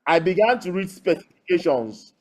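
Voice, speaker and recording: A man with a Nigerian accent telling his story in an interview as a loudspeaker builder, emphatic and punctuating his points with rhetorical questions. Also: high voice, big pitch swings, moderate pace. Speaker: loudspeaker builder and audio engineer, guest.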